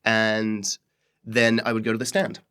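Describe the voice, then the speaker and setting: A man's voice: fairly low pitch, monotone, a little slow, a bit bright, smooth. A young man from Belgium with a cold, chatting casually and playfully as a comedian guest on a podcast. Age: young adult. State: has a cold. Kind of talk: casual chat.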